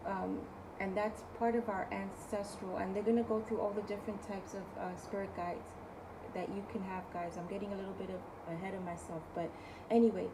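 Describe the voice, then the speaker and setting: A woman with a New York accent hosting a casual spiritual talk as a tarot reader, chatty and often checking in with her viewers, her voice hoarse from overuse. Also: medium pitch, noisy background. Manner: chatty, keeps asking okay guys. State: losing her voice. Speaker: tarot reader and video host.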